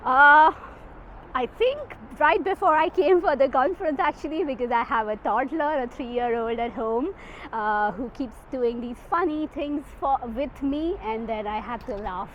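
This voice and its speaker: A woman's voice: sits high, unhurried, fairly bright tone. A woman with an Indian accent giving reflective, slightly hesitant answers in an interview. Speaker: psychotherapist, conference participant. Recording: muffled sound with clear background noise.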